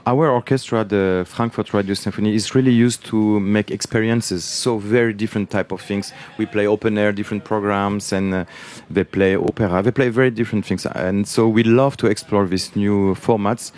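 A man's voice: fairly low pitch, fairly even intonation, fairly quick. A man from France with a French accent, a conductor answering interview questions and often searching for words. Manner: searching for words, switches languages, warm enthusiasm.

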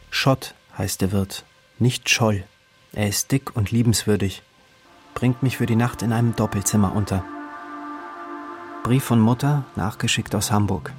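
A man reading a literary travel diary as scripted narration, in clipped, terse phrases. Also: lowish voice.

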